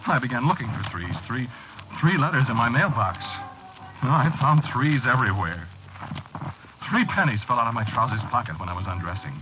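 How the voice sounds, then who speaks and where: An actor playing an anxious, haunted office man, confiding haltingly in an old-time radio horror drama. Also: mid-range voice, lively intonation, natural voice. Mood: agitated, unnerved. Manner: halting, brooding, confiding in the listener. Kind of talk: old-time radio horror acting, first-person narration. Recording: dull old radio drama recording, music behind.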